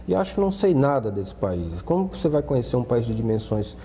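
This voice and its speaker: A man's voice: mid-range voice, very animated, fairly quick, dark tone, big-room sound, a little hiss or hum. A middle-aged Brazilian man from Salvador, a TV studio guest, talking earnestly with frequent restarts. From Brazil, born in Salvador. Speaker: studio guest, former student union adviser. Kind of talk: TV interview talk.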